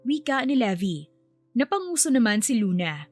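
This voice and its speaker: A woman's voice: a little high, very animated, normal speed, dry recording, soft background music. A woman giving scripted narration of a story.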